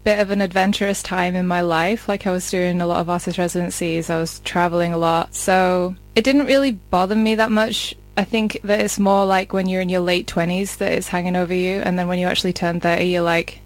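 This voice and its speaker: A woman's voice: fairly low pitch, fairly even intonation, a little fast, few pauses, dry recording. A woman in her early thirties talking in a reassuring, casual conversational way. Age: early 30s. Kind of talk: casual chat.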